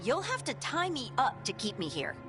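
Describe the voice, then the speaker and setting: Plucky, lively-pitched fighter. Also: female voice, normal speed, music in the background. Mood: stubborn, plucky. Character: plucky, stubborn female fighter. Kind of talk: dramatic video game cutscene acting.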